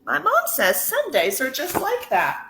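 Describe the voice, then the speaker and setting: A woman teacher reading a picture book aloud to children in an expressive, deliberate way, pausing to put questions to her listeners. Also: high-pitched, gravelly, slight background noise. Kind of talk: storybook read-aloud.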